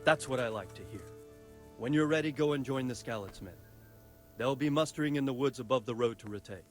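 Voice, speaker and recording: A man's voice: mid-range voice, brisk, close to an everyday voice. Man playing a stern medieval commander, music behind. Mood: firm, grave. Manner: authoritative, clipped orders. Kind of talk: dramatic game cutscene acting.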